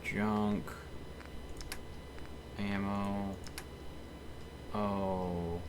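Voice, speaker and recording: A man's voice: fairly low pitch, level tone, drawn-out words. A man giving casual live commentary as he streams a video game. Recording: faint background noise.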